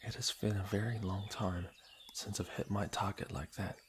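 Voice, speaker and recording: A man acting a playful, flirtatious fantasy lord in an intimate ASMR roleplay, with breathy murmurs and birdsong effects behind him. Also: on the low side, fairly even intonation, moderate pace. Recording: clear background noise with birdsong effects. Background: birdsong and outdoor nature sound effects.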